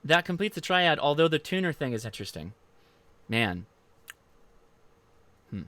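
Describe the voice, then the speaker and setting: Bright, clear-voiced American man, casual livestream host. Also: on the high side, big pitch swings, moderate pace, dry recording. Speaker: host of a ham radio channel. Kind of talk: casual livestream chat, answering viewer comments.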